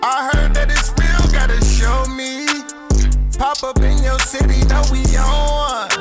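Slow chill vocal on a hip hop track, beat loud under it. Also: female voice, high-pitched, over loud music. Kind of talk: rapping over a track.

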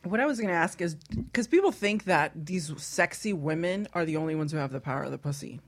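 Teasing low-voiced woman radio host. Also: lively intonation, fast talker. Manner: teasing, crude jokes. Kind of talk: candid talk radio chat.

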